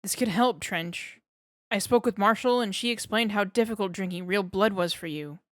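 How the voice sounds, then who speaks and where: A woman's voice: medium pitch, moderate pace, no room sound, studio quality, lightly acted. A woman voicing a nervous male lab scientist who rambles and over-explains, eager to please. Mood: anxious pleading.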